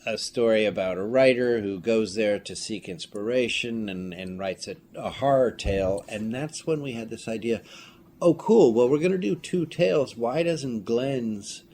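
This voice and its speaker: A man speaking as an interview guest, telling casual anecdotes in an animated, emphatic way. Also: mid-range voice, moderate pace. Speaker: filmmaker and writer, interview guest. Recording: clearly noisy room ambience.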